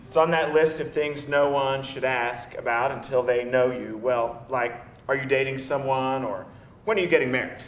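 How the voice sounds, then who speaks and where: Easygoing, blunt boyfriend played by an actor, mid-pitched casual banter, off-mic in an echoey room. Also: male voice, normal speed, far from the mic, slight background noise, natural voice. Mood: casual, amused. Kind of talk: conversational screenplay table read. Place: live table read in a roomy indoor space.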